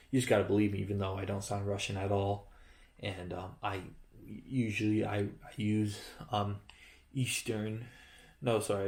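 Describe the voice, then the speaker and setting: Rambling man reviewing a toy set. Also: on the low side. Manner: rambling, self-correcting, joking. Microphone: handheld camera close-up of toy.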